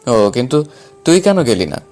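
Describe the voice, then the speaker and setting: A man's voice: medium pitch, very animated, moderate pace, darkish tone, faint music behind, natural voice. A man playing a flustered, cheeky but timid younger brother in an acted audio story. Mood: casual, polite.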